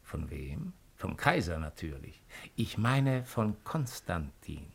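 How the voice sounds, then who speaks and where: An actor playing a cold, calculating Roman imperial agent in a radio drama, speaking in a measured, insinuating way. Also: male voice, low voice, big pitch swings, vocal fry, breathy edge, heavily acted. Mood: cool, self-assured. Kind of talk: 1950s German radio drama acting.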